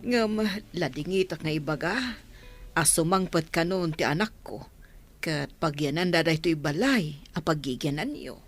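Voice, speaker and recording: A husky, very expressive motherly voice from an actress in Ilocano radio drama, with faint music underneath. She sounds caring and anxious as a woman. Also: low voice, normal speed.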